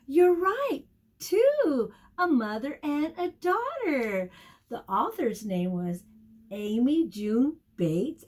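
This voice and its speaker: A woman teacher leading a storytime lesson for young children, asking questions, encouraging them and repeating key words deliberately. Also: on the high side. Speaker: preschool teacher.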